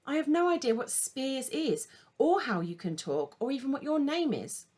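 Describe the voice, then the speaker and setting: A woman's voice: sits high, lively intonation, moderate pace, darkish tone, pitched above the performer's own voice. A woman voicing an astonished young girl who questions and protests, the heroine of a children's storybook. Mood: challenging. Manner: incredulous, protesting.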